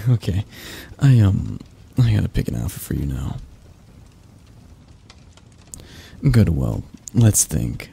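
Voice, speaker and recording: Man acting a husky, breathy possessive mafia boss. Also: deep voice, very animated, a little slow, mellow, heavily acted. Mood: pleased, playful. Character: possessive young male mafia boss, doting yet controlling. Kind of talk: ASMR boyfriend roleplay.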